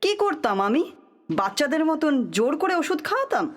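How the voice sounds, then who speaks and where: A woman's voice: high voice, big pitch swings, brisk. A woman playing an embittered, defiant, unrepentant woman in an audio drama, speaking firmly and intensely. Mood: defiant, impassioned. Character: embittered, defiant woman confessing without remorse. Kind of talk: Bengali audio drama acting.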